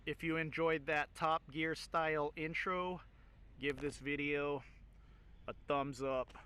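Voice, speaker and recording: A man with a fairly high, clean and smooth voice talks informally, as a vlogger does to viewers. His delivery is casual and enthusiastic. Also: fairly even intonation, slow, pushed and bright. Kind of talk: casual chat to camera.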